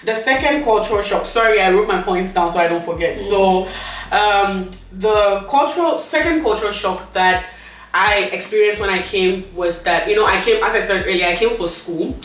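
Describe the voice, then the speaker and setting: A young woman with a Ghanaian accent, a guest telling stories in a casual chat. She tells them in an animated way, acting out the people she talks about. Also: mid-range voice, normal speed. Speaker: vlog guest. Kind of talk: casual storytelling. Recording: echoey room, far from mic, faint noise.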